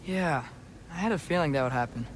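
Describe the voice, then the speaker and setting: A man's voice: a little high, a little fast, a little hiss or hum, lightly acted. A young male actor playing an earnest, guarded teenage half-giant boy in a video game. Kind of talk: naturalistic video game acting.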